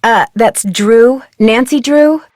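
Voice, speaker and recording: A woman's voice: fairly high pitch, slow, darkish tone, no echo, clean studio sound. An actress playing a polite, casual young woman detective in a video game.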